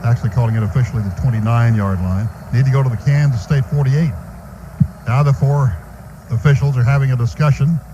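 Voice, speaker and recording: A man's voice: mid-range voice, fairly quick, highs cut off. A man with an American accent calling a football game live as a radio play-by-play announcer. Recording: noisy live stadium radio broadcast. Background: loud stadium ambience.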